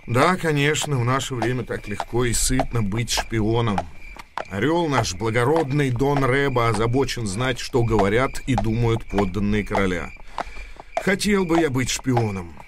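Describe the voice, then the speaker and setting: A man's voice: mid-range voice, lively intonation, moderate pace, lightly acted. Male actor as meek scholarly commoner, bitterly ironic, deferential, mid-range voice, radio drama, noticeable noise behind. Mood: bitter irony. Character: timid, bitter bookish commoner, gentle and wary. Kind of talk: radio drama monologue and dialogue. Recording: radio play with noisy background.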